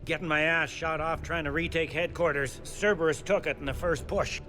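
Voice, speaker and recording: Gruff cop commander for an action game: forceful, blunt, sardonic, faint music behind. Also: male voice, fairly high pitch, steady tone, moderate pace. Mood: gruff, urgent. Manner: blunt, wry. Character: gruff, weary, sardonic male police commander. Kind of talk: video game voice acting.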